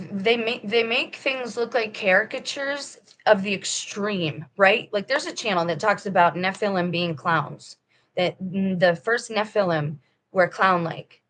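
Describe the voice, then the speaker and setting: A woman speaks straight to her viewers in a firm, emphatic, rambling monologue. Her pitch sits in a middle range for a woman. A faint background hum runs under her voice. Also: fairly bright tone. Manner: firm and preachy. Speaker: vlogger. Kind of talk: casual monologue.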